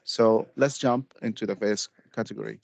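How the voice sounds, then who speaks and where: A man from Syria giving a steady, slightly formal group presentation over a video call. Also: on the low side, moderate pace, creaky, slightly rough, no echo. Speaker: student presenter. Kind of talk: class presentation.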